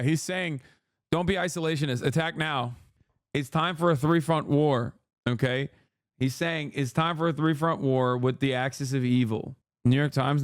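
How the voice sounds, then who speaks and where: Male streamer, 30s, casual reaction commentary, sarcastic and crude, smooth clear tone. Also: mid-range voice, dry recording, clean studio sound. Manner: sarcastic, profane, reads text aloud then mocks it.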